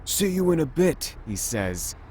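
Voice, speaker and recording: A man's voice: on the high side, sing-song, unhurried, a little mellow, slight background noise. An actor playing an anxious, sarcastic young male android in a visual novel game, sulky and worried.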